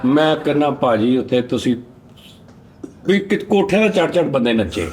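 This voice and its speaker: A man's voice: mid-range voice, sing-song, normal speed, darkish tone, slight background noise. A man with a Pakistani Punjabi accent bantering casually as host of a comedy show.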